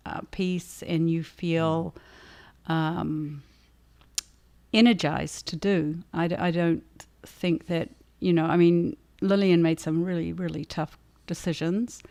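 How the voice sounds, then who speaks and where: Low, creaky-voiced woman, even delivery. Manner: thoughtful, halting, conversational. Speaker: author and livestream co-host. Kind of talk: casual livestream chat, answering audience questions.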